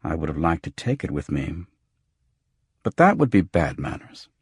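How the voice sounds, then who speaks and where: Deep, husky male noir audiobook narrator. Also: very animated, moderate pace, no room sound, clean studio sound. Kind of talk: scripted first-person noir narration.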